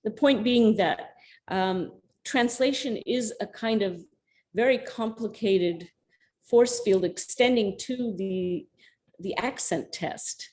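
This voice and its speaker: Casting: woman academic, mid pitch, thoughtful and searching delivery, video call audio. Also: slow, darkish tone. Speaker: guest lecturer. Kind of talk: academic lecture Q&A answer.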